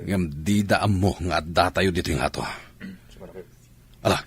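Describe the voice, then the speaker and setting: A man's voice: deep voice, moderate pace, husky. A man playing a military commander in a radio drama, urgent and commanding in his delivery. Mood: grave, commanding. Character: male military commander leading soldiers into battle. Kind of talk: Ilocano comedy radio drama acting.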